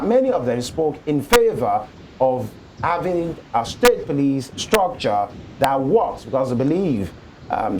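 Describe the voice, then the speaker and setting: A man's voice: on the high side, darkish tone, slight background noise, poor audio. A man hosting a live morning TV talk show, chiming in and probing with questions. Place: television studio.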